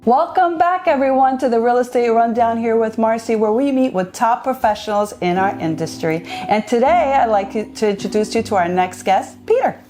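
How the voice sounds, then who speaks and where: A woman's voice: a little high, animated, normal speed, few pauses, mellow, faint music behind. A woman from New Jersey hosting a real estate interview, upbeat and emphatic, with rising greetings. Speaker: podcast host.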